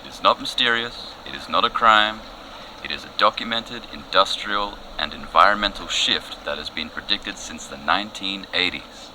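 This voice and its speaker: Over clear ambient noise comes a man playing a TV field reporter in a news satire. His voice is low. He is weary, factual and deadpan. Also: moderate pace, lightly acted. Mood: deadpan, insistent. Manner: flat-worded, factual, deadpan. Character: weary, matter-of-fact male TV field reporter. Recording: noisy outside-report ambience behind.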